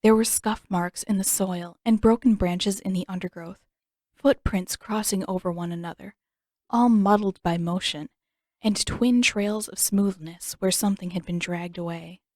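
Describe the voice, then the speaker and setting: A woman's voice: mid-range voice, moderate pace, a little mellow, no echo, studio quality. A woman reading a story as scripted audiobook narration, in the manner of an amateur narrator.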